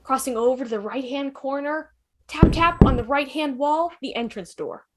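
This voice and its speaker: A woman's voice: high voice, normal speed, very noisy. A woman giving dramatic, punchy scripted narration at an amateur table read, voicing sound effects as plain words. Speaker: narrator reading stage directions.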